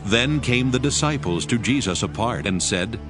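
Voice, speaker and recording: A man gives a formal, measured narration of dramatized scripture. His voice sits at a medium pitch with lively intonation, over clearly audible music.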